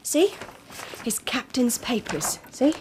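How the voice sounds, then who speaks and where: High-voiced, defiant daughter. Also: female voice, big pitch swings, slow pace, slight background noise. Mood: airy, casual. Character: defiant young daughter, coolly vindictive. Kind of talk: radio drama acting.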